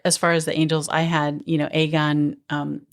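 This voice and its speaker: A woman's voice: deep voice, level tone, moderate pace. A woman co-hosting a podcast in casual chat, talkative and playful, laughing often between her points. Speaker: podcast co-host. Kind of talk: casual chat about a TV movie.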